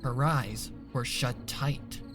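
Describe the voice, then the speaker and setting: A man's voice: mid-range voice, steady tone, slow. A man reading scripted dramatic narration for a fan fiction story. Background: soft music behind the voice.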